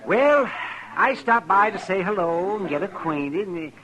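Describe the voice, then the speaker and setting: High, excitable old frontier doctor. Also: male voice, a little slow, exaggerated voice, low-quality recording. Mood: worried, urgent. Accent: American. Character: fussy, excitable older male frontier doctor. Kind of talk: 1950s radio western acting. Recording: old radio recording with crowd din.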